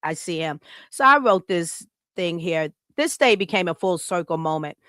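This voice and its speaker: A woman's voice: on the low side, expressive, moderate pace, sharp tone, no room sound, studio quality. An American woman hosting a podcast, giving a casual tribute that is partly read aloud. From Chicago, United States. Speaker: podcast host.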